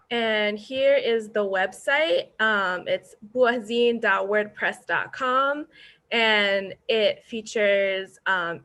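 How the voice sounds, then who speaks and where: Unhurried woman presenting her zine. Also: medium pitch. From born in Indonesia, raised in upstate New York. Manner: soft-spoken, many ums and uhs. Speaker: zine founder and editor.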